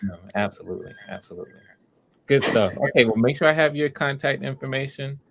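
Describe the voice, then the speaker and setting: A man's voice: mid-range voice, lively intonation, quick pace, gravelly. A man hosting online auditions in a casual, encouraging way, often repeating himself. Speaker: audition host. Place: live video stream.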